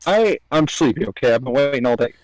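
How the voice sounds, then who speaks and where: A man's voice: medium pitch, expressive, fast, dry recording, poor audio. Guy chatting casually w blunt jokey one-liners, really dry close mic. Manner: blunt, joking interjections. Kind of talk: casual podcast chat.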